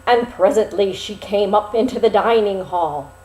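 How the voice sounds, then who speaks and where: A woman narrating a fairy tale expressively, with dramatic, deliberate phrasing and pauses. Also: medium pitch, dark tone. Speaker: storyteller. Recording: roomy, echoing sound.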